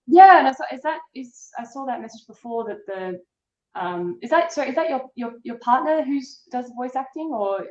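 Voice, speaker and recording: A young woman from Australia with a deeper voice, chatting casually as a guest on a video call, soft-spoken with many fillers. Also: expressive, normal speed, a little hiss or hum. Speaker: guest actress. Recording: roomy, dull lo-fi call audio.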